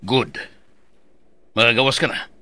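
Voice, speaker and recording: A man's voice: medium pitch, unhurried, bright, forceful tone. An actor with a Cebuano accent playing a curt, domineering male office manager in a radio drama. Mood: brisk. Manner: curt orders mixing English.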